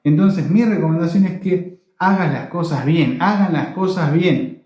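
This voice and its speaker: A man's voice: on the high side, normal speed, darkish tone. A man with an Argentine accent giving opinionated commentary straight to the viewer, emphatic and repeating phrases to drive points home. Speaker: video blogger. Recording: echoey, reverberant room.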